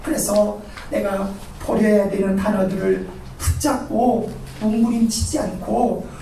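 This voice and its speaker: A woman leading a spoken congregational prayer, pleading fervently with repeated, slowed, emphatic phrases. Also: medium pitch, flat delivery, vocal fry, warm tone, a little hiss or hum. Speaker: prayer leader. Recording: very echoey, distant from the mic.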